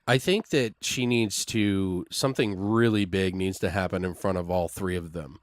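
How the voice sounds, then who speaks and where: A man hosting a podcast, casually making up a story aloud in an excitable, loosely profane way. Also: deep voice, moderate pace, dry recording. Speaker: podcast host. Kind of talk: casual improvised story brainstorming.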